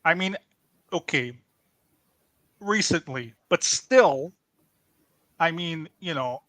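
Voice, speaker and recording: A man's voice: a little high, lively intonation, slow pace, fairly bright tone, no room sound. A man playing a blustering, defensive small-time crook and pizzeria owner with a Chicago accent, in improvised comedy banter. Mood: proud, boastful. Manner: blustering, defensive, repeats himself, wiseguy phrasing.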